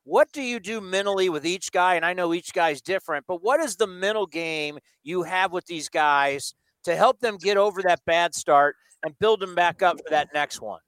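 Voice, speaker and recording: Casting: enthusiastic male radio host, high pitch, dry close sound. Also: moderate pace, hardly any pauses, fairly bright tone, clean tone, no room sound, poor audio. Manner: chatty, enthusiastic. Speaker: radio broadcaster. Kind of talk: broadcast interview. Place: stadium press box.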